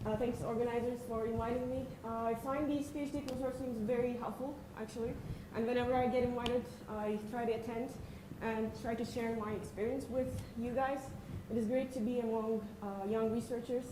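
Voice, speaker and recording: A woman, giving an earnest, friendly conference talk with frequent pauses. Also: on the high side, fairly even intonation. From Turkey. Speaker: invited speaker, assistant professor. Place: lecture room. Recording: echoey room, faint noise.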